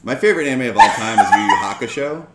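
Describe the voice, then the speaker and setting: A man's voice: mid-range voice, big pitch swings, quick pace, very noisy. A man appearing as a comedian guest on a podcast, talking in a laid-back, joking way. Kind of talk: casual chat. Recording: roomy room, shared mic.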